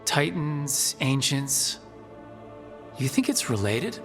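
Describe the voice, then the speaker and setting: A man's voice: medium pitch, big pitch swings, slow, faint music behind. A man voicing a supportive, inquisitive hero in a video game, with a direct, questioning manner. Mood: supportive, curious.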